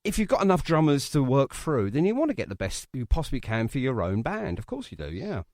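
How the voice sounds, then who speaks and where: A man's voice: medium pitch, wildly swooping pitch, brisk, darkish tone, dry recording, studio quality. A man hosting a casual music interview in a chatty, effusive, jokey way, often repeating his phrases. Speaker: podcast interviewer.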